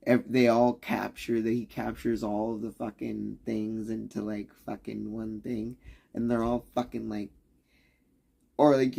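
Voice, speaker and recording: A man's voice: medium pitch, creaky, dark tone, husky. A man on a livestream, talking in a profane, rambling rant that keeps restarting its sentences and challenges the listener.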